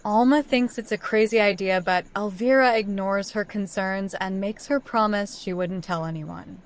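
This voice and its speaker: A woman's voice: mid-range voice, moderate pace. A woman narrating a scripted story recap, telling events in order as a storyteller.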